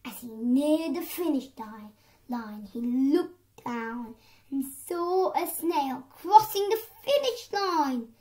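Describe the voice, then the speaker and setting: A young girl tells a children's story. Her voice is very high, and she reads at a slow pace. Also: animated, a little mellow.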